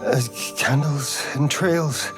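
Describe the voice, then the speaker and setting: A man's voice: fairly high pitch, steady tone, slow. A man playing an agitated, desperate schemer in a video game, muttering to himself erratically. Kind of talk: video game dramatic acting. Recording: music behind.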